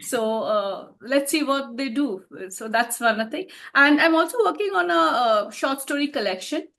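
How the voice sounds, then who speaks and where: A woman's voice: high voice, animated, moderate pace, creaky, fairly bright tone. A woman, an author answering interview questions in a reflective way and laughing often. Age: 50s.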